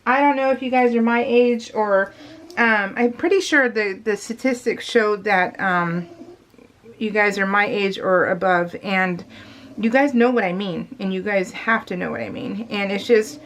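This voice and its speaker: A middle-aged woman talks casually, as a craft vlogger does to her regular viewers. Her voice sits at an average pitch. She rambles along in a confiding way and leans hard on the words she cares about. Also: measured pace, a bit bright, a little hiss or hum. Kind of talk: casual chat.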